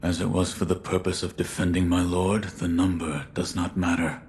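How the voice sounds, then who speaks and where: A man plays a loyal shinobi from a game cutscene. His voice is extremely low and gruff, his manner terse and solemn. A faint rumbling noise sits behind him. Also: level tone, moderate pace, darkish tone, natural voice. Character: stoic adult male shinobi bodyguard, loyal and terse. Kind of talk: video game cutscene acting.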